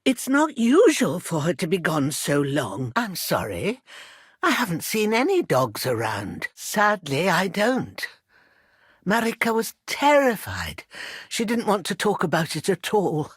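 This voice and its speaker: An old village mother in a dramatic video game. Her voice is weathered and husky, recorded close and dry. She speaks slowly and earnestly, plain-spoken and heavy with care. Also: on the low side, huge pitch swings, no room sound, full character voice. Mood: worried, pleading.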